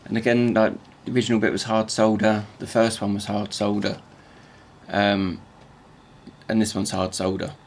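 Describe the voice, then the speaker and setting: Man, croaky morning voice, halting repeated words, casual tutorial talk, faint workshop hum behind. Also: fairly low pitch, level tone.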